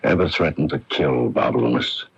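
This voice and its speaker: An actor playing a gruff, insistent police lieutenant questioning people in a radio drama. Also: male voice, rumbling low, moderate pace, exaggerated voice. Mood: probing. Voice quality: gravelly. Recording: dull lo-fi radio broadcast tape.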